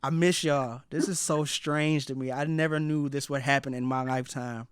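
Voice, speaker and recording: A man's voice: mid-range voice, fairly even intonation, moderate pace, smooth, no echo. Male radio DJ interviewer, clean resonant voice recorded dry, informal and straight-talking. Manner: informal, frank, slangy. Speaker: radio host and DJ interviewing an artist. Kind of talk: casual phone interview.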